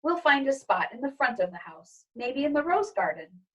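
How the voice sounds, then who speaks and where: A woman's voice: high-pitched, sing-song, moderate pace, a bit bright, recorded from a distance, voice pushed up. A woman voicing a fussy, squeamish mother who talks in a flustered, rambling way.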